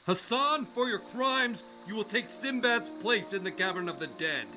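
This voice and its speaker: A stern but just king played by a man, high in pitch and commanding. His speech is formal radio-drama oratory, over loud music. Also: expressive, normal speed, exaggerated voice. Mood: solemn judgement.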